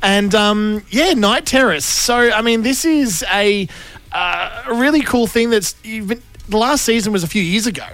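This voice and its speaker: A man's voice: high-pitched, moderate pace, fairly bright tone, no echo, faint music behind. An Australian man co-hosting a breakfast radio show, chatting casually and upbeat in a studio.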